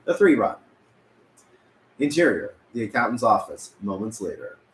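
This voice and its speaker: A man's voice: fairly low pitch, very animated, normal speed. A man reading scripted narration as narrator at a table read. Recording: echoey room, faint background noise.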